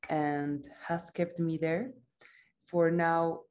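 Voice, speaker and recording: A woman's voice: deep voice, flat delivery, very slow, a little mellow. A woman in her thirties from Mexico with a Mexican accent and Spanish as her native language, speaking reflectively on a video call and often tagging statements with a questioning "no?". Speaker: psychoanalyst and author in conversation. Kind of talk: reflective conversation. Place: indoor room at home, video call. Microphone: video call microphone.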